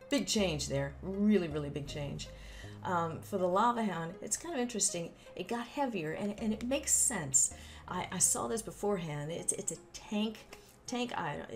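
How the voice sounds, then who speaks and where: Female gaming host, lowish gravelly voice, informal commentary, clear music bed underneath. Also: darkish tone. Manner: chatty, informal asides and folksy exclamations. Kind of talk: casual explainer commentary on a game update. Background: background music.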